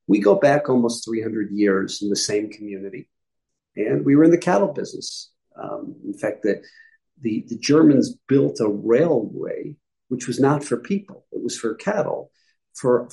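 A middle-aged man with a mellow, warm tone tells his story in a loose, explanatory way. He often stops to correct a word or add an aside as he goes. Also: medium pitch, lively intonation, normal speed, creaky, poor audio. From upstate New York. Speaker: guest rabbi. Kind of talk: conversational interview answers.

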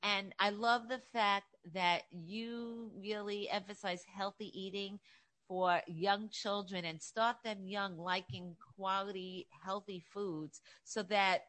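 Slow-talking chatty woman host. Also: mid-range voice, steady tone, a bit bright. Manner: gushing, chatty. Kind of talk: celebrity interview show.

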